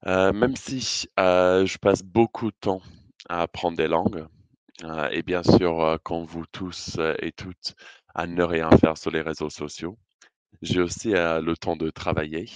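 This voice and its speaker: A British man with a low, deep voice delivers a prepared presentation. His British accent shows, and his phrasing is measured and hesitant. The sound is dry and close, with little room around it. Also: steady tone, clear, resonant tone, no echo. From Britain. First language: English. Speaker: conference speaker.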